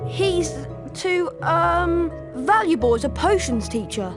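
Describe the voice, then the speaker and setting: Earnest, high-voiced schoolboy wizard. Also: female voice, lively intonation, slow, exaggerated voice. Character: earnest, cheeky young schoolboy wizard hero. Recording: music behind the voice. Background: music.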